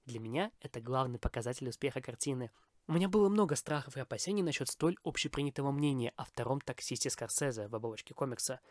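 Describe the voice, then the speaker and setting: Guy hosting a movie podcast, fast scripted review, opinionated, dry close sound. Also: medium pitch, dry recording. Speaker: podcast host, film reviewer.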